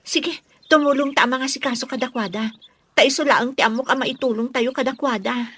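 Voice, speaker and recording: A woman's voice: sits high, fairly quick, fairly bright tone, husky, no room sound. A woman playing a concerned, compassionate villager in a radio drama, earnest and sympathetic.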